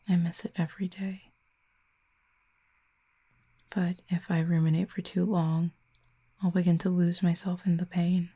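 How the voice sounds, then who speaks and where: A soft-spoken cupid cast out of her home realm, voiced by a woman in an audio roleplay, low and breathy with a dark, mellow tone. She talks slowly and quietly, sometimes close to a whisper, and her pitch barely moves. She stays gentle and wistful throughout. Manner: soft, hushed and slow, often near a whisper.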